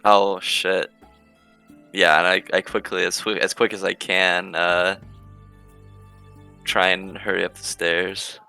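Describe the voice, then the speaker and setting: A man's voice: low-pitched, little pitch movement, takes it slowly, vocal fry, pauses often, bright, forceful tone, clean tone. A man playing a tabletop roleplaying game, chatting casually and describing what his character does. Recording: music behind the voice.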